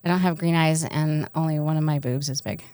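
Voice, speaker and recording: Give this a woman with a distinctly low voice, recorded close and dry. She co-hosts a podcast and tells personal stories in a frank, irreverent, joking way, in loose conversational speech. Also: steady tone, a little fast, mellow, clean tone, no room sound. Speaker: podcast co-host. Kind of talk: candid casual chat, personal storytelling.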